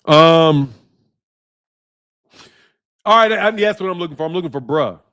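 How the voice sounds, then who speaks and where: A man hosting a casual boxing livestream, talking in lively slang and reading viewer chat. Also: on the high side, measured pace. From Chicago area. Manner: animated, slangy, loud outbursts and laughter. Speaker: boxing channel host. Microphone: streaming mic.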